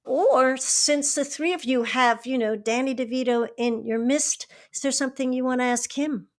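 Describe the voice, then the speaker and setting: A woman with a fairly high voice works as a podcast host. She speaks in a presenter's style, suited to interviews and scripted announcements. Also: normal speed. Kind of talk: hosting an interview and reading scripted credits.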